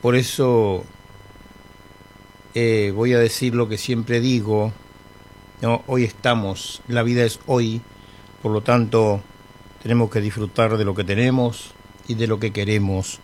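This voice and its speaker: A man's voice: on the low side, little pitch movement, unhurried, long pauses, a little mellow, a little hiss or hum. Chatty Argentine radio host, man. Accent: Argentine Spanish. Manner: chatty, rambling, friendly with humour. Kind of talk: casual radio presenting between songs. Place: radio studio.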